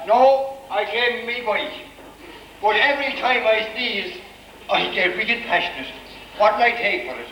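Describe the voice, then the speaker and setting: A man's voice: high-pitched, unhurried, bright, forceful tone, heavily acted. A man with an Irish accent playing a brash, bawdy widowed governess, scolding and wisecracking in a stage pantomime. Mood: jokey gossip. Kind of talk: broad amateur stage pantomime acting. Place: village hall stage, live performance. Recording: echoey hall stage, distant mic, noisy.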